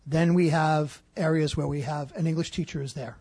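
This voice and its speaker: A man speaking as a school district administrator, answering questions at a public meeting in a measured way with frequent fillers. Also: fairly high pitch, fairly even intonation, darkish tone, husky, no echo.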